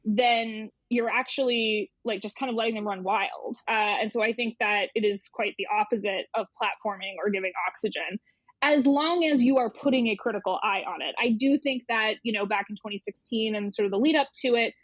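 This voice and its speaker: A woman's voice: a little high, a little fast, no room sound. A woman speaking as an interview guest, an editor explaining things in a casual, thoughtful, conversational way with some emphatic repetition.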